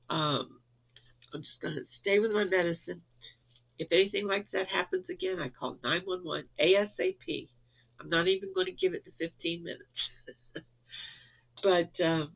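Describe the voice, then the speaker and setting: A man's voice: high voice, vocal fry. A man from Florida, stuffed up and losing his voice, giving a chatty, rambling update to viewers from home with frequent chuckles. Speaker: crochet vlogger. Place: at home indoors. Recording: tinny phone-like audio.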